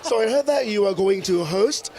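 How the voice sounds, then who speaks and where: A man hosting a live event, speaking halting English and often repeating himself. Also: sits high, lively intonation, music in the background. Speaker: event host. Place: busy public forum venue. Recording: busy crowded event hall chatter.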